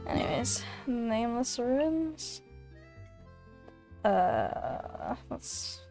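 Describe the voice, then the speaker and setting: Girl gamer reacting casually, highish voice, slow n giggly, exclamatory, music in the back. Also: wildly swooping pitch, creaky, a little mellow. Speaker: gamer reacting to a game story. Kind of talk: casual chat, playthrough reactions. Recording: game music behind the voice.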